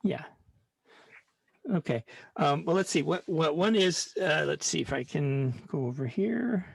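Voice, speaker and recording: A man's voice: on the high side, expressive, measured pace, creaky, husky, no room sound. A man leading an informal live online science lesson in a hesitant, rambling way, often restarting his sentences. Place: online video call.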